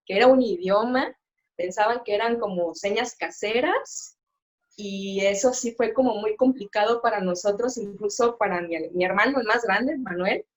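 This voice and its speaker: A middle-aged woman, a sign language interpreter, talks as a guest on a video call and pauses often with fillers and restarts. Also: mid-range voice. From Mexico. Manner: hesitant, many fillers and restarts. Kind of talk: live-stream interview, casual chat.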